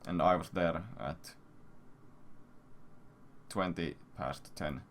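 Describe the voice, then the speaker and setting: A man's voice: low-pitched, slow, fairly bright tone. A man casually telling a rambling story to stream viewers in crude language full of swearing. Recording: noisy stream audio, keyboard sounds.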